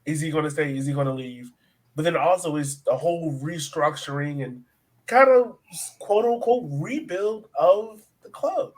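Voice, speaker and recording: A man's voice: fairly high pitch, normal speed, poor audio. A man hosting a soccer podcast, asking questions and giving emphatic opinions in a conversational style. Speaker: sports podcast host.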